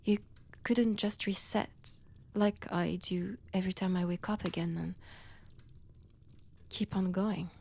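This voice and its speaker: A woman's voice: medium pitch, fairly even intonation, creaky, mellow. A woman with a French accent playing a centuries-old vampire, wounded and obsessive, in an intimate roleplay audio, often dropping into whispers. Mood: hurt, disbelieving. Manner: slow, deliberate, theatrical with long pauses.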